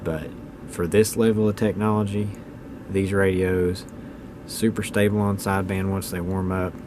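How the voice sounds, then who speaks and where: A man's voice: sits low, little pitch movement, normal speed, noisy background. A man in his thirties giving a casual, unscripted hobbyist video talk in a plainspoken, opinionated, folksy way. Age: 30s. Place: indoors at home.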